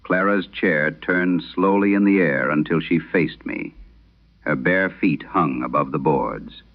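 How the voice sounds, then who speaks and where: A man playing an aging, haunted priest, telling a solemn horror radio monologue with measured, brooding, confessional delivery. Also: extremely low pitch, phone-quality sound, heavily acted. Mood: grim, dread-filled.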